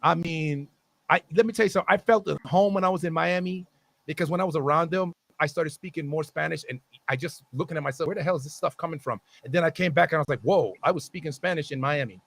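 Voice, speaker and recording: A young man with a gravelly, somewhat high voice trades jokes as co-host. He teases the others and breaks into loud, animated asides. The talk is loose and playful. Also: fast. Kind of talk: casual chat.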